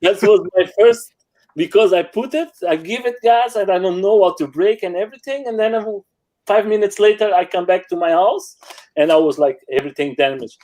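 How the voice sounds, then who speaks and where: A man from Portugal speaking halting English as a guest, with many fillers as he tells stories. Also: sits high, mellow, low-quality recording. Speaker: guest rider. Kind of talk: casual chat in non-native English. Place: home.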